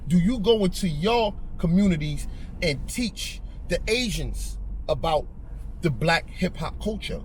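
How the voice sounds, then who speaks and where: A man's voice: high voice, animated, measured pace, a little hiss or hum, rough recording. Blunt, argumentative New man. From Harlem, New York. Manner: blunt, argumentative, pressing his point. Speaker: guest. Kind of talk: heated podcast debate.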